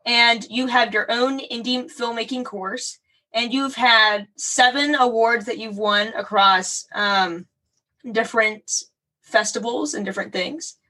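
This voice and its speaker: A woman's voice: on the high side, pushed and bright, recorded from a distance, poor audio. A woman hosting an interview, upbeat and chatty, using fillers like "like" and "um". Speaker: interview host. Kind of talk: casual interview.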